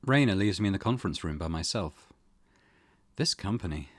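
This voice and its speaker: A man's voice: low voice, animated, brisk, dry recording. A man hosting a let's play, reading game text aloud and commenting in an informal, offhand way. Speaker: gaming host.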